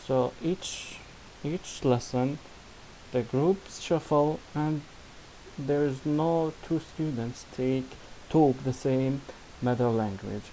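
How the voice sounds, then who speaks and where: Man, Syrian Arabic accent, non-native English, slow halting delivery, mellow tone, faint hiss. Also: mid-range voice, rough recording. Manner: halting, many restarts and fillers, non-native grammar. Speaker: student presenting a project. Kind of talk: screen-recorded software demo.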